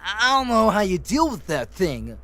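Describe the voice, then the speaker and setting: A man's voice: high-pitched, very animated, moderate pace, background noise. Man acting a snarky, wildly swooping complainer. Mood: exasperated. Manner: sarcastic, exasperated, theatrical. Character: snarky, whining male complainer with mocking wit. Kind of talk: audio drama acting.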